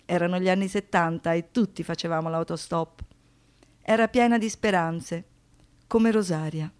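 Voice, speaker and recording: A woman giving scripted dramatic narration as a monologue, in measured, short rhythmic phrases. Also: fairly low pitch, steady tone, darkish tone, clear, resonant tone.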